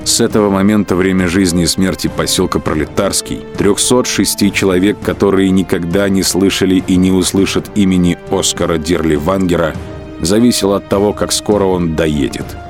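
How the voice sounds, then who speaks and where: Very deep-voiced male narrator. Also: steady tone, moderate pace. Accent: Russian. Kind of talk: scripted narration for a radio play. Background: music.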